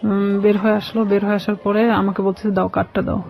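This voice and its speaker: A woman with a Bangladeshi accent telling a personal story as a radio guest, halting and repeating phrases as she explains. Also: medium pitch, flat delivery, a little mellow. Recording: slightly dull, lo-fi radio sound.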